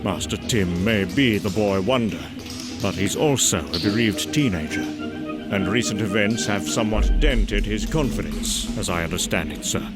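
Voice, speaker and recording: Low-voiced formal older butler acted by a man. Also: normal speed, natural voice. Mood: gently reproving. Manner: formal, deferential. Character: dutiful, formal older butler, gently reproving. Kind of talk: comic book dramatisation. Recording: loud music under the voice.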